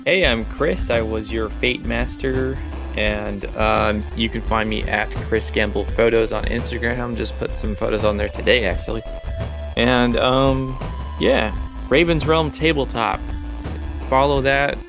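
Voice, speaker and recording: A man's voice: medium pitch, fairly even intonation, unhurried, music in the background, rough recording. A man chatting casually and narrating as game master of a tabletop podcast.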